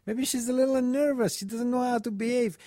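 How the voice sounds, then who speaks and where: A man's voice: very high voice, steady tone, fairly quick, dark tone, no room sound, studio quality. A man chatting casually in a group, giving instructive advice and repeating his points.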